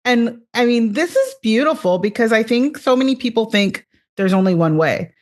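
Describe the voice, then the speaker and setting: A woman's voice: fairly high pitch, expressive, normal speed. A woman hosting a podcast interview, reacting with enthusiastic affirmations and drawing out her guest. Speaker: podcast host.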